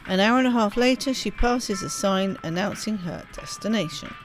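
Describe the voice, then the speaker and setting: A woman's voice: mid-range voice, moderate pace, low-quality recording. Woman reading game narration over music. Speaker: let's play host.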